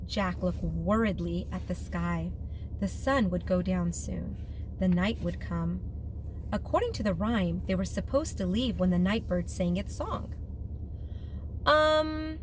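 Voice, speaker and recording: A woman's voice: fairly low pitch, measured pace, darkish tone, slight background noise, rough recording. A woman speaking as a teacher to her students, in a reassuring, encouraging way, as in personal talk or a read-aloud.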